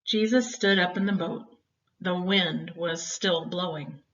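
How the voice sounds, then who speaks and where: A woman's voice: lowish voice, unhurried, fairly bright tone. A woman reading a children's Bible story expressively, with dramatic, emphatic phrasing meant for young listeners. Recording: dull, distant home-style recording.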